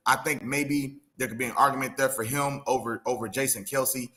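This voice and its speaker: A man co-hosting a casual sports podcast, animated and opinionated, often saying "you know what I'm saying.". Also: mid-range voice, a little fast.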